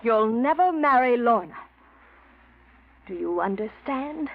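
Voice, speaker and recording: A woman's voice: fairly high pitch, lively intonation, slow, heavily acted. An actress playing a scheming, passionate woman with a theatrical, imperious manner in a 1940s radio drama. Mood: cold threat. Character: scheming, passionate woman in love with her cousin's fiance. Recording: dull vintage radio recording.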